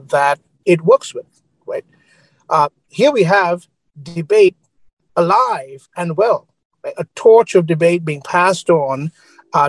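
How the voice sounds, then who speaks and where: Earnest Sri man, raised pitch, dry close mic, exec guest on a panel. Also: no room sound, low-quality recording. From Sri Lanka. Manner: earnest, keeps adding a checking "right?". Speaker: media executive guest.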